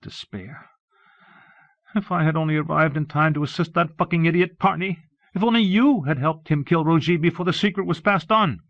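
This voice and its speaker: A man voicing an eager, murderous minion in an audiobook, fervent and servilely devoted to a dark master. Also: a little high, moderate pace, no echo, voice pushed up, lightly acted. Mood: bitter. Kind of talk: audiobook character voice. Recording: muffled old cassette audiobook.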